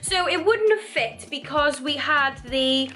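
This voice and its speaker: A woman vlogging casually about renovating her living room, chatty and rambling, her voice croaky from dust. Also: sits high, expressive, a little slow, bright, forceful tone, soft background music. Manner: chatty, rambling, laughs often. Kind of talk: casual chatty vlog to camera.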